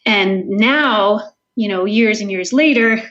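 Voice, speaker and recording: A woman's voice: mid-range voice, takes it slowly, fairly bright tone, highs cut off. A woman from Southern Ontario with a Canadian accent, answering interview questions as an author in an earnest, enthusiastic, conversational way.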